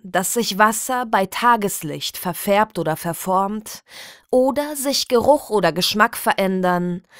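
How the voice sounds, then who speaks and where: Female narrator for satirical audio fiction: unhurried, deadpan officialese, mid-pitched, dry sound. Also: dry recording. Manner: formal, measured, deadpan bureaucratic delivery. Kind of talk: scripted reading of a mock official public notice.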